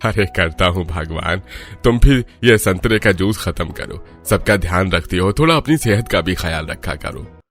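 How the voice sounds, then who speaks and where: A man's voice: deep voice, animated, measured pace, fairly bright tone, faint music behind, full character voice. A man voicing an elderly, kindly father-in-law in a cartoon family story. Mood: gently chiding. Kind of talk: Hindi cartoon story dialogue.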